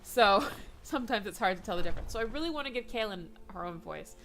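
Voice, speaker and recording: A young woman gaming vlogger chats while she plays, tired yet excitable and quick to poke fun at herself. Her voice is bright and forward. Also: medium pitch. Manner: excitable, chatty, self-deprecating. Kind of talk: casual let's-play chat.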